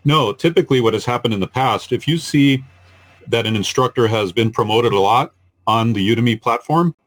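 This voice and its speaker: A man who co-hosts a podcast and teaches online courses, reasoning in a measured, analytical way during a casual panel discussion. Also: mid-range voice, fairly bright tone.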